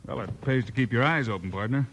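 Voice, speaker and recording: A man playing a steady, brave Old West lawman, firm and plain-spoken, with an American accent in a 1950s radio western. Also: medium pitch, fast, vocal fry, close to an everyday voice. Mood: resolute. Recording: noisy old radio recording with clicks.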